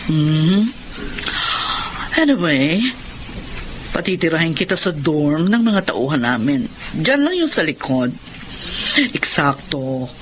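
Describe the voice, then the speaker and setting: A woman's voice: deep voice, lively intonation, slow pace, pauses often, background noise. A woman playing a kindly, authoritative married lady lawyer in an audio drama, reassuring and inquisitive, motherly but firm. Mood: friendly, businesslike. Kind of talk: Tagalog radio-style drama acting.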